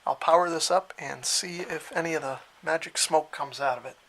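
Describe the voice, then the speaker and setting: A man's voice: a little high, normal speed. A man giving a casual, unscripted hands-on gadget demonstration, thinking aloud as he goes in his cellar at home. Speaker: radio hobbyist demonstrating equipment. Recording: noisy home recording with clicks.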